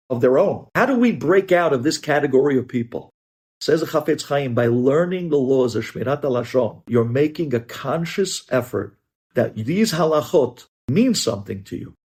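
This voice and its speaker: A man's voice: a little high, a little mellow, husky. A man giving a religious ethics lecture as a rabbi, speaking emphatically and putting rhetorical questions straight to his listeners.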